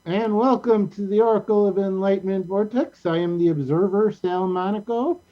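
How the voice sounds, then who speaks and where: Halting show host on dull call audio. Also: mid-range voice. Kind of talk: casual show welcome, introducing guests. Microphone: video call.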